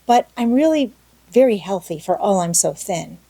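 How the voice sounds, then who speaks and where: A woman's voice: mid-range voice, expressive, moderate pace, creaky, dark tone, husky, no echo. A woman voicing a talkative, dreamy young orphan girl in an audiobook, gushing earnestly and dramatically. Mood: excited, dreamy. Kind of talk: audiobook character acting.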